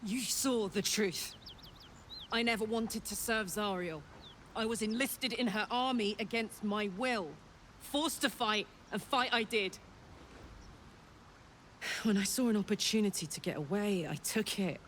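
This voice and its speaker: An actress plays a battle-hardened fugitive woman in a fantasy game. She speaks with a British accent at a medium pitch, blunt and colloquial yet earnest. A little ambient noise sits behind her. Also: unhurried, long pauses, a bit bright, natural voice. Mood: earnest, resolute. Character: brash, earnest female devilish warrior fugitive. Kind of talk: video game character acting.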